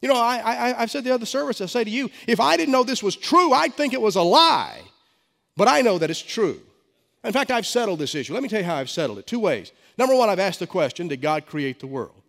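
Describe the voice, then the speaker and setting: A man's voice: high voice, sing-song, fast talker, creaky, a bit bright. A middle-aged male pastor with a head cold, preaching a sermon with emphatic stresses and stammered restarts. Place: church sanctuary. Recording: long hall reverb.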